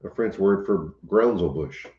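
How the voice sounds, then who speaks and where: A Cajun man hosting a casual homestead livestream, easygoing and quick to chuckle. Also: deep voice. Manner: easygoing, chuckles often, occasional coughs. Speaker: homestead channel host. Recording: echoey room, dull lo-fi sound.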